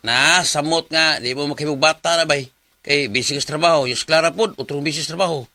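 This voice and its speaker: A man's voice: fairly high pitch, brisk, pushed and bright, soft background music. A man with a Cebuano Filipino accent playing a chummy, teasing office friend in a radio drama. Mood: nagging, teasing. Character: chummy, nosy male office buddy, teasing and frank.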